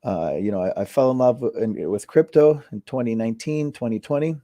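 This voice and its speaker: A man in his thirties, from Costa Rica, chatting casually as an interview guest, relaxed with many fillers and repeated words. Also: mid-range voice, a little fast, no room sound, clean studio sound. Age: 30s.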